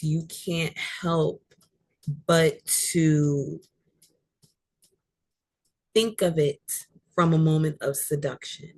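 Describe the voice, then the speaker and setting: A woman with a deep voice, an author chatting as a guest about how she uses her voice, talking thoughtfully with frequent fillers. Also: a little mellow, dry recording. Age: adult. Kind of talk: casual chat about voice and reading.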